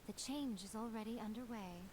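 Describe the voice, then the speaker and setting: A woman's voice: a little high, a little fast, a crowd in the background, natural voice. A woman voicing a sly, poised fox-spirit shrine maiden in a video game, coy, knowing and self-assured.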